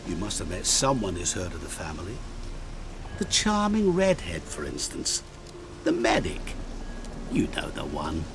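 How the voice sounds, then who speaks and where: An actor playing a sly, genteel and mysterious tempter in a video game, with a teasing, condescending, sing-song delivery. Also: male voice, low-pitched, sing-song, a little slow, pauses often, over music, exaggerated voice.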